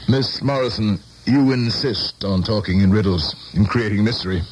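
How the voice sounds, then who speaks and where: Male actor as proud former teacher turned politician, low voice, measured sardonic delivery, radio drama with light noise. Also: lightly acted. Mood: exasperated. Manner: formal, measured, exasperated diction. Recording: noisy old radio broadcast recording.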